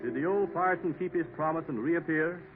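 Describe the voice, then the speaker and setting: A man's voice: on the high side, a little fast, over loud music. A man giving a formal, polished 1950s radio announcement. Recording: tinny old radio broadcast.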